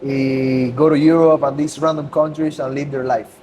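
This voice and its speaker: A man's voice: on the high side, level tone, normal speed, a little mellow. A young man, talking earnestly as a podcast guest in a loose, casual way and slipping into Spanish now and then. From Cuba. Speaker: guest, business owner. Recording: noisy ambience.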